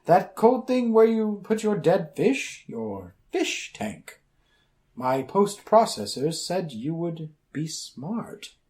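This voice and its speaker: A man's voice: high voice, big pitch swings, slow, darkish tone, heavily acted. A man playing an omnipotent, quirky supercomputer in a comic radio drama, speaking in pompous, convoluted and oddly formal sentences. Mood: quirky, grandiose.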